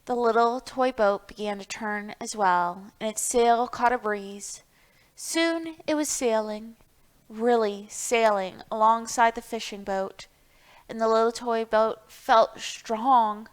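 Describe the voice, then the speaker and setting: A woman's voice: on the high side, takes it slowly, smooth. A woman hosting a children's storytime, reading aloud and explaining things in an encouraging way, speaking directly to young listeners. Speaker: library storytime host.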